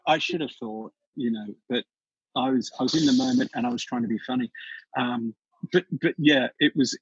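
A husky-voiced Australian man chats as a podcast guest, spinning anecdotes with lively energy and plenty of restarts. His voice sits at an ordinary middle pitch. The recording is dry and a little dull, like a lo-fi remote call. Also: no room sound. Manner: chatty storyteller, animated, frequent restarts. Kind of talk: casual interview chat.

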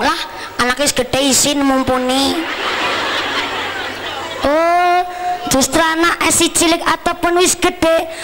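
Slow-paced woman preacher with Javanese accent. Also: sits high, fairly bright tone. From Central Java, Indonesia. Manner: teasing, folksy. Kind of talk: lively sermon mixing teaching with humour. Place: live religious gathering.

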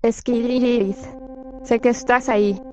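A woman's voice: on the high side, steady tone, slow pace, darkish tone. A synthetic text-to-speech woman's voice playing a weary, protective church nun in a fan-dubbed cartoon game. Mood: pleading, determined. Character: weary, protective church nun fighting evil spirits, kind to children. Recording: muffled lo-fi audio over music. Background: game music.

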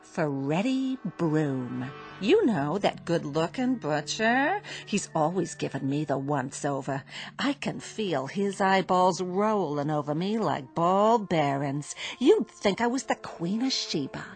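A sarcastic, nagging New wife. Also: female voice, fairly low pitch, animated, soft background music. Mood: bitter. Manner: sarcastic, nagging, quick retorts. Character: bitter, sharp-tongued working-class wife.

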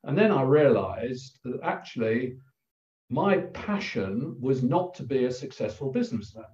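An older man with an English accent, a guest telling a personal story over a video call in a halting, reflective way with many pauses. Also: mid-range voice, very animated, a little mellow. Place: plain room indoors. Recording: roomy, distant, dull video call audio.

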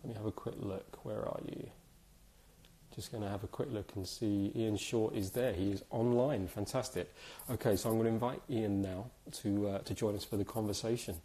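A man in his thirties, a little hoarse from a cold, hosting a live video interview in a chatty, upbeat way with many fillers. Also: fairly low pitch, steady tone, moderate pace, mellow. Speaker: host. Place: indoors, small room.

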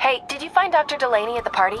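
An actress playing an earnest young reporter and ally in a video game, quick and determined. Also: female voice, high-pitched, lively intonation, noisy background. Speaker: game character.

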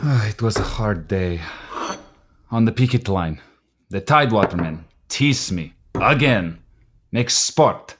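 A man acting in a radio play as a proud, hot-tempered Slovak immigrant husband, with a Slovak accent and halting English. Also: fairly low pitch, lively intonation, fairly bright tone, breathy edge, full character voice. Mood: wounded, indignant. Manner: halting English, slow and deliberate.

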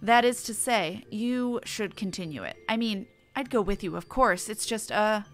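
A woman's voice: mid-range voice, normal speed, close to an everyday voice. A woman voicing a pompous, feeble, bumbling male scholar from a fantasy game, with a wordy, theatrical, dithering delivery. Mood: excited, dithering.

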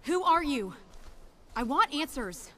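A woman's voice: sits high, sing-song, slow pace, very noisy, full character voice. An actress playing a determined, level-headed woman survivor in a horror video game, speaking tersely and earnestly. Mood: firm, suspicious. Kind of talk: video game drama acting.

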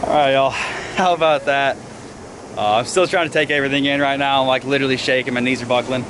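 A young man in his twenties filming a fishing vlog outdoors at night, talking excitedly and out of breath in folksy slang. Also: mid-range voice. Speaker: fishing vlogger. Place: riverbank by a dam at night, outdoors. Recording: outdoor recording with loud water noise. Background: rushing water from the dam.

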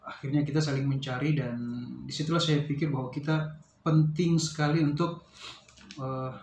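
A man's voice: on the high side, steady tone, a little mellow. A man, explaining casually and hesitantly, as in an unboxing vlog. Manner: hesitant, pausing to search for words. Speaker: vlogger reviewing a product. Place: small bare-walled room indoors. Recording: roomy echo, faint background noise.

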